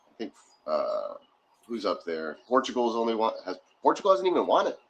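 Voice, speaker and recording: Man, deep voice, chatty, laughing, faint background hiss, garage. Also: huge pitch swings, a little fast. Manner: laughs often, rambling and chatty. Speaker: watch party co-host. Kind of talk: casual livestream chat. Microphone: phone.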